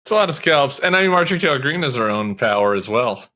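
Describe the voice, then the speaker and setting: A man's voice: mid-range voice, animated, quick pace, pushed and bright. A man on a panel trading casual banter in a playful, teasing way.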